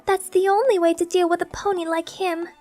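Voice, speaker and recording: A man's voice: very high-pitched, flat delivery, brisk, darkish tone, faint music behind, pitched above the performer's own voice. A man voicing a haughty young filly who plays a scheming noble in a school play, smug and condescending.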